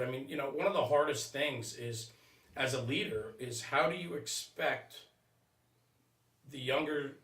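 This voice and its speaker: Male podcast guest, mid-pitched, earnest and halting discussion, roomy sound, set back from the mic. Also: fairly bright tone. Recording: roomy echo, distant microphone.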